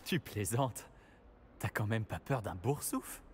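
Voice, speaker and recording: A man's voice: on the low side, lively intonation, slow pace. An actor voicing a friendly, confident young male student hero in a video game, with light teasing. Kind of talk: video game protagonist dialogue.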